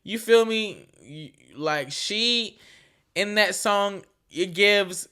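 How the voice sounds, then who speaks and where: A woman's voice: mid-range voice, drawn-out words, bright, forceful tone, smooth. A woman in her twenties hosting a solo podcast, talking casually in a frank, profane, slangy way that rambles with restarts and keeps checking in with the listener. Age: 20s. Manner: slangy, frank and profane, with frequent "you feel me" asides. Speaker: podcast host.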